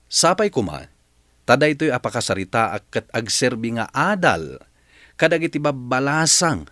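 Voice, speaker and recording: A male radio drama host with an Ilocano accent. He reads a scripted introduction in a bright voice with expressive movement in pitch. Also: dry recording, clean studio sound.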